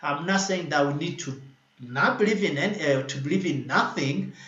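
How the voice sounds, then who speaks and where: A man's voice: on the high side, lively intonation, moderate pace, fairly bright tone, echoey room, muffled recording. A man hosting an online panel discussion from home at night, talking with many fillers and restarts. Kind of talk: closing remarks in an online panel. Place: home in Addis Ababa, at night.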